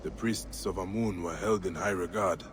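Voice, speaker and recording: A man playing a grave, determined investigator of ancient Egyptian tombs in a video game, deliberate and brooding. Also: low voice, steady tone, background noise, lightly acted.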